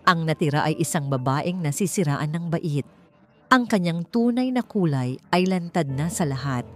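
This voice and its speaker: Low-voiced woman narrating a dramatic story. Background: music.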